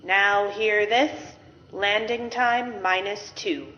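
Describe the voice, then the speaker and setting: Slow, echoing intercom announcer played by a woman. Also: medium pitch, fairly even intonation, very noisy. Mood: formal. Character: brisk, formal shipboard intercom announcer and crew member. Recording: muffled echoey intercom under loud music.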